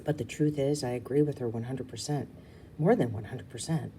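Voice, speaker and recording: A woman's voice: rumbling low, fairly quick, mellow, a little hiss or hum, poor audio. A woman reading a children's story aloud expressively, slipping into casual asides. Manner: breaks off for casual asides and explanations. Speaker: book reader.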